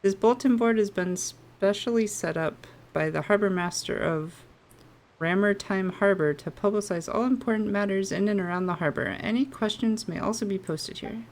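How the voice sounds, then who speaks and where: A woman with a playful manner, casually chatting and reading aloud as she plays a video game. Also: mid-range voice. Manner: giggly, playful. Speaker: game streamer.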